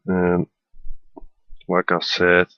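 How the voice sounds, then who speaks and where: Slow, low-voiced Dutch man. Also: completely flat delivery, no room sound, highs cut off. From Netherlands. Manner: hesitant, many fillers. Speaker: tutorial maker. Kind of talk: screen-recorded software tutorial voiceover. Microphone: voiceover at a computer.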